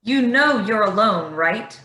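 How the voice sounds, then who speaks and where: A woman's voice: medium pitch, lively intonation, takes it slowly, pushed and bright. A woman playing a gleeful, mocking troublemaker in a staged play reading, recorded in an echoey room. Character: gleeful, taunting, cheeky female troublemaker.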